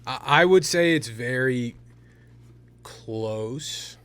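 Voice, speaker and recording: A man's voice: medium pitch, very slow, fairly bright tone, clean tone. A young man co-hosting a sports podcast, often stumbling and repeating words as he starts a point. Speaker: podcast co-host. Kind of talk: casual sports debate.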